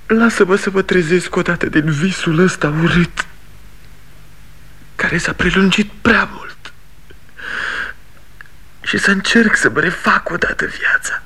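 An actor playing an anguished, bitter grown son, talking with impassioned pleading that sinks to hushed confession in a radio play. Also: male voice, high voice, long pauses, husky, exaggerated voice. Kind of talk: 1960 radio theatre acting.

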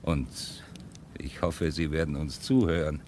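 An actor playing a steady leader of exiled book lovers in a 1970s German radio play, speaking in an earnest, measured, declamatory way. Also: male voice, rumbling low, slight background noise. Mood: earnest, resolute.

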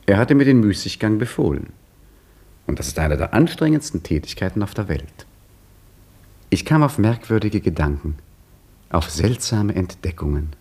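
A low, husky male voice as a brooding husband, wry and reflective while he narrates in a 1950s radio play. He sounds thoughtful and gently teasing. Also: big pitch swings, normal speed, pauses often. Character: Hakim, an idle, brooding Egyptian fish merchant's husband. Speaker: narrator and lead character. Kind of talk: 1950s radio drama acting.

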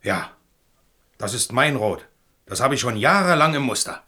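Sharp, hot-tempered village rival man. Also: mid-range voice, expressive, moderate pace. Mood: accusing, angry. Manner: sharp, indignant. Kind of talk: German radio play acting.

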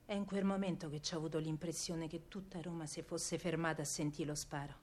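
A woman's voice: sits low, fairly even intonation, a little fast, a little mellow. A feisty working-class woman from wartime Rome, tells her tale theatrically. She speaks in a thick, colloquial Roman accent, with earthy turns of phrase, in a dramatic radio monologue. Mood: hushed, grief-stricken. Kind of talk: dramatic first-person radio monologue in dialect.

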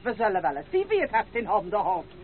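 Actress as a brash old village granny, wildly animated. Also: female voice, mid-range voice, fast, natural voice. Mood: indignant. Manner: scolding, sneering, folksy rural speech. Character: brash, grasping old village grandmother, scolding and sly. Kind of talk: old radio play acting. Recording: tinny, band-limited, hissy old radio recording.

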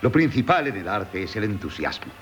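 An actor playing a reasonable, sceptical gentleman in a Spanish radio play, formal and slightly stammering, with a Castilian accent. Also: lowish voice, very animated, fairly quick. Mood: probing, dry. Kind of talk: 1970s Spanish radio theatre acting. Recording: 1970s radio drama, faint music.

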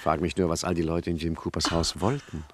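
A man's voice: low-pitched, flat delivery, fairly quick, a little hiss or hum, lightly acted. A male actor playing a cocky, scoffing gangster in a comedic radio play, mocking and theatrical in manner. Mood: mocking reassurance. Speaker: actor in a radio crime satire.

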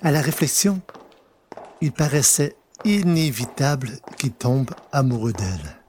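Slow, deliberate male storyteller. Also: medium pitch, a little mellow, slight background noise. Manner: deliberate, pausing between phrases. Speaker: narrator. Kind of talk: scripted literary narration.

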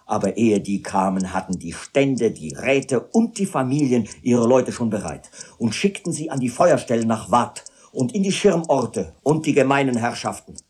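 A husky, brooding tutor from a literary radio drama. His delivery moves widely in pitch, with an intense, impassioned storyteller's temper. The voice has a rough, veiled edge. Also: animated, moderate pace, hardly any pauses, a little mellow.

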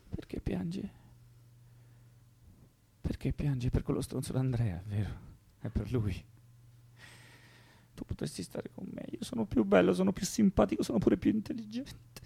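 Volatile anguished young guy in a radio drama, husky voice, mellow, keeps repeating stuff. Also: a little high, no room sound, full character voice. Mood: agitated, teasing. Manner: volatile, repetitive, drifting into whispers. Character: anguished, erratic young leftist man, pleading and playful. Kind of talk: naturalistic radio drama acting.

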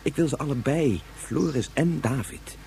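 A man playing an exasperated, anxious father and professor in a radio drama, indignant and halting with emotion. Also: medium pitch, vocal fry, warm tone, slight background noise, natural voice. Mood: anxious, pleading. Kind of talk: Dutch radio drama acting.

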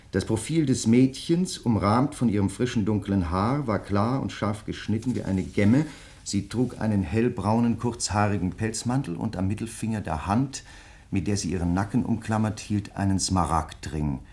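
An actor as a courteous crime writer in a radio play. His voice sits on the low side, and he speaks with measured, deliberate politeness, quietly pressing his point as he probes a fellow writer. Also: lightly acted. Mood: measured, reading aloud. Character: courteous, insistent male crime novelist probing a fellow writer. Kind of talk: German radio play acting.

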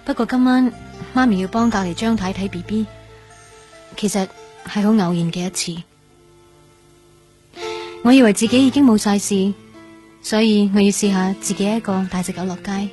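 A woman's voice: mid-range voice, normal speed, long pauses, a little mellow, natural voice. An actress with a Hong Kong Cantonese accent playing an earnest, sweet, fragile young woman recovering from illness in a radio drama. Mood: earnest, anxious. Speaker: actor. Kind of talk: radio drama, narrated inner monologue and dialogue. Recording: music under the voice. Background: background music.

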